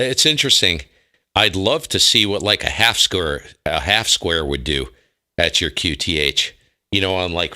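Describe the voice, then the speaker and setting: Bright, forceful American man. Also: sits low. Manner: enthusiastic, slangy. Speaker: ham radio operator and streamer. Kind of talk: casual radio chat.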